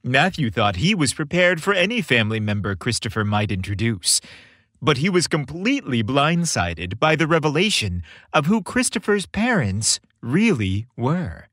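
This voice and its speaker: A man's voice: medium pitch, sing-song, normal speed, fairly bright tone, no room sound. A man hosting a story podcast, reading scripted narration with a playful, theatrical delivery, close on the microphone.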